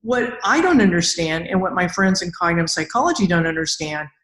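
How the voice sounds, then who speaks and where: A woman's voice: lowish voice, animated, brisk, a bit bright. A woman hosting an interview, talking in a blunt, informal, conversational way. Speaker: podcast host. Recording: roomy, echoing voice pickup.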